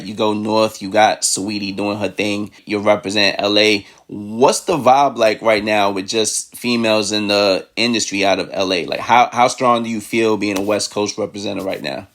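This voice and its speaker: A man co-hosting a casual interview, talking in a relaxed, slangy, joking way. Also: lowish voice, moderate pace, few pauses. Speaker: interview co-host.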